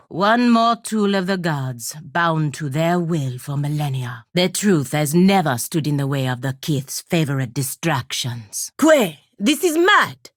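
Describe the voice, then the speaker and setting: A low female voice gives a proud, honor-bound fantasy game warrior her weight. She speaks formally and sternly in clipped phrases, with an embittered edge. The sound is very dry, free of room echo. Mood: commanding, fierce. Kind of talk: fantasy video game character dialogue.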